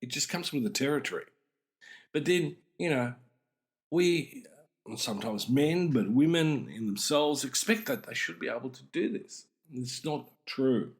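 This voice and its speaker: A middle-aged man hosts an explanatory talk on psychology, speaking deliberately and with emphasis. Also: mid-range voice, expressive. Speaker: podcast host and mental health speaker.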